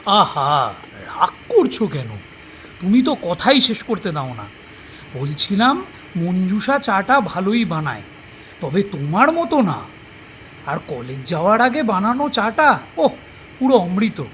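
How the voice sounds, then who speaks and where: An actor playing an older, bookish retired detective in an audio drama, a husky-voiced husband with a teasing, easygoing way of talking. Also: male voice, high voice, a little slow, slight background noise, heavily acted. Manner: teasing, expressive.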